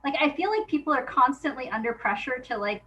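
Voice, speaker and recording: Woman, interview guest, higher-pitched voice. Informal, reflective, halting delivery, thinking out loud. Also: animated. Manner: informal, halting, thinks aloud with frequent hedging and laughter. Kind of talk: casual reflective conversation.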